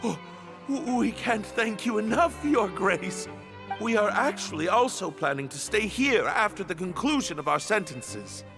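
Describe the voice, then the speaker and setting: A man's voice: high voice, very animated, measured pace, over music, heavily acted. A man playing an earnest, polite young male prisoner and groom-to-be, heartfelt, in video game dialogue. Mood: grateful.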